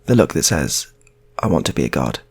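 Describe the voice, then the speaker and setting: A man's voice: very deep voice, sing-song, brisk, vocal fry, a little mellow, slightly rough, voice pushed down, exaggerated voice. A man voicing a worried wife who questions and coaxes her husband in an improvised story. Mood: coaxing, worried. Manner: questioning, coaxing.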